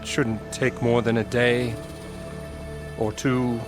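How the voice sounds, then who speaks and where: An actor playing a pompous, self-important frontier profiteer in a video game, with a theatrical, wheedling way of talking. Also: male voice, medium pitch, little pitch movement, slow, over music, lightly acted. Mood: stalling. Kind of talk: video game character acting.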